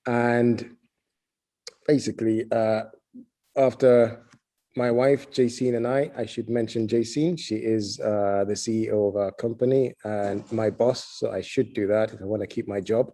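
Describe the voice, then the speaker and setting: A middle-aged man from Uganda, a head distiller, answering interview questions in a relaxed way with many fillers. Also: lowish voice, unhurried.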